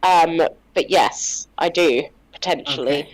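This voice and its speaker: A woman's voice: low-pitched, takes it slowly, a crowd in the background, poor audio. A woman player chatting casually with a cold, rambling and full of ums. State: stuffy with a cold, sniffling. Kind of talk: casual chat between game sessions.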